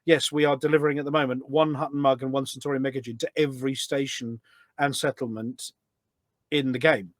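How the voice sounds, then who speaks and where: A man's voice: medium pitch, fairly even intonation, a little fast, no room sound, studio quality. A man chatting casually as a podcast guest, telling anecdotes in a rambling, talkative way. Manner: chatty and rambling, acts out quoted remarks. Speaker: podcast guest and community radio show presenter.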